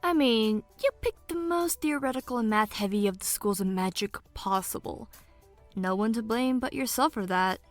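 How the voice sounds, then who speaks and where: A woman voicing a sensible, wry young illusionist student in an audio drama, measured and gently chiding. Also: on the high side, no room sound, faint music behind, close to an everyday voice. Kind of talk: audio drama character banter.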